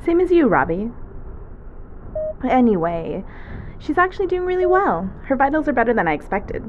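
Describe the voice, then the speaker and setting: A woman's voice: sits high, normal speed, narrow, tinny audio, slight background noise. An actress playing a casual, teasing young woman who works as a spaceship medic. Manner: chatty, animated storyteller, casual and teasing. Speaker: ship medic.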